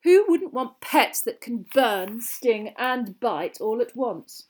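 A woman puts on a cold schoolboy bully from a storybook. He drawls his words out slowly, sneering and sarcastic at every turn. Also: fairly high pitch, big pitch swings, darkish tone, exaggerated voice.

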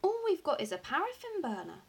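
A woman's voice: high voice, huge pitch swings, a little fast, vocal fry, pitched above the performer's own voice, full character voice. A woman voicing a young boy in a storybook, curious, wondering and full of questions. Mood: curious, doubtful. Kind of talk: acted audiobook dialogue.

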